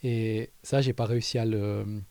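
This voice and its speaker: Dark-voiced man with a light Valais accent. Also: fairly low pitch, steady tone, measured pace, gravelly, dry recording. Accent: light Valais Swiss French. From Valais, Switzerland. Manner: thoughtful, hesitant, many fillers. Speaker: interviewee. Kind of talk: casual street interview.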